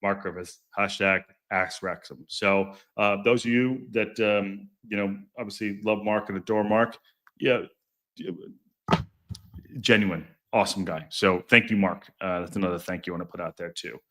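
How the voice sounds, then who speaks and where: Rambling man with a deep voice. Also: steady tone, normal speed, a bit bright. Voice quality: deep, strong voice. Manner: rambling, self-interrupting, stumbles over words; chatty and jokey. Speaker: podcast host. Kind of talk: casual live-stream chat reading viewer comments.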